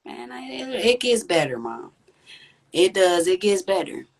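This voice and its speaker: A woman with a deep, raspy voice, speaking candidly and reflectively as a podcast guest. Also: sing-song, unhurried. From Texas. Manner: reflective, earnest, with frequent "you know what I'm saying". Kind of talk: candid conversational interview.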